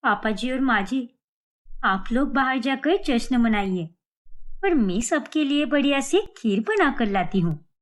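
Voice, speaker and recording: A woman playing a gentle, self-sacrificing young daughter-in-law who speaks earnestly in a cartoon melodrama. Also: fairly high pitch, animated, unhurried. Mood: cheerful. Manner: earnest, pleading, selfless.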